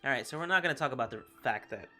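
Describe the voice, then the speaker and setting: A woman's voice: a bass voice, animated, racing, pushed and bright, soft background music. A woman casually commenting while playing a game, chatty and reactive, often laughing. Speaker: gameplay streamer.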